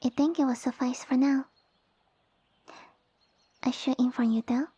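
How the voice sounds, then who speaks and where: Girl doing a sassy little lamia boss lady, asmr roleplay, soft breathy voice, really close and dry, bossy formal talk. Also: high voice, steady tone, no room sound, exaggerated voice. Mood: commanding, smug. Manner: formal, imperious, measured phrasing. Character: small, sassy, haughty female lamia village ruler and boss. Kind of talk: ASMR roleplay audio addressed to the listener.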